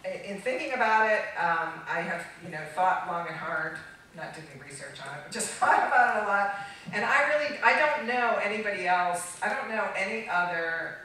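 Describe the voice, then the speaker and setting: Echoey, bright-voiced American woman giving a keynote. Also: mid-range voice, lively intonation. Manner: halting, emphatic, with repeated false starts. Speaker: conference keynote speaker, trademark attorney. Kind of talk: public talk to an audience, unscripted. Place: large dark auditorium stage, recorded from far off. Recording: echoey hall, distant microphone, faint noise.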